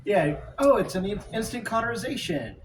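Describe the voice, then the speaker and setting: Male player, casual and halting game-table talk, roomy far-off sound, clearly noisy. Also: high-pitched, sing-song. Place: indoor room, group game table. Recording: roomy, distant mic, noisy.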